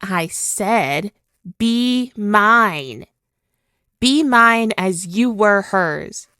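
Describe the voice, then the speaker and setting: A woman's voice: mid-range voice, expressive, pushed and bright, smooth, dry recording, clean studio sound, heavily acted. A woman playing a spirit villain possessing a woman's body, obsessive and tormented, in a theatrical, brooding ASMR roleplay monologue. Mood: possessive, pleading. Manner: slow, theatrical, brooding, dwelling on words.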